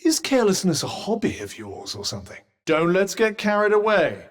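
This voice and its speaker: A sardonic, condescending British magical armband from a fantasy video game. The voice sits fairly high and swoops expressively through formal, fussily cautious understatement. A long reverb trails every phrase. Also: male voice, big pitch swings, fairly quick. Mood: dry, sardonic. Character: sentient magical vambrace, wry, haughty, fussily cautious male companion. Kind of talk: video game character dialogue.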